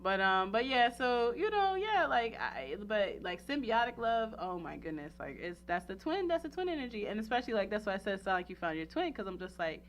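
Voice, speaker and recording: A woman hosting a casual radio talk show, chatting in a playful, animated, slangy and emphatic way. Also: medium pitch, a little fast, few pauses, slight background noise. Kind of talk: casual chat.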